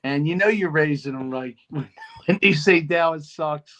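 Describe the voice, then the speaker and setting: A man, co-hosting a casual sports chat, talking in a heartfelt, soft-spoken way. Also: a little high, moderate pace, lo-fi sound. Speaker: podcast co-host.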